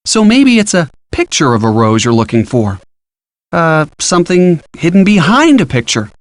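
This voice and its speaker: An actor playing a helpful, upbeat college-age boyfriend with an American accent, giving casual, encouraging detective hints in an adventure game. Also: male voice, a little high, sing-song, moderate pace, no room sound. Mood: playful, thoughtful. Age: young adult. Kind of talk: scripted game hint dialogue.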